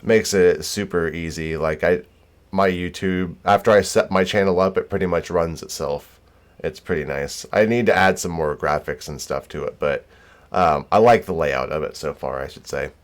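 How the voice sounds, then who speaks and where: An American man with a deep voice streams games and chats as he goes. His delivery is easygoing and informal, wandering from thought to thought. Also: moderate pace. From United States. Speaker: game streamer. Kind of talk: casual livestream chat.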